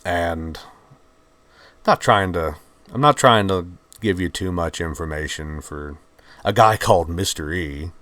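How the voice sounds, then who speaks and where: A man with a mixed Virginian and Georgian Southern accent, hosting his channel and talking in a subdued, halting, heartfelt way. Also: low voice, a bit bright, no room sound. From Virginia, USA. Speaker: channel host. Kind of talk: reflective personal talk to camera.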